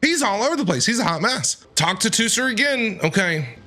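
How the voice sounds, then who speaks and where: A woman's voice: medium pitch, sing-song, fairly quick, sharp tone. A woman doing casual, playful, teasing gameplay reaction commentary. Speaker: streamer.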